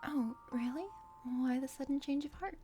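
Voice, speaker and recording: Woman acting high-voiced plucky young heroine. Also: moderate pace, close to an everyday voice. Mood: teasing. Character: plucky, teasing young female bodyguard adventurer. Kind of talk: visual novel character voice-over. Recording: music under the voice.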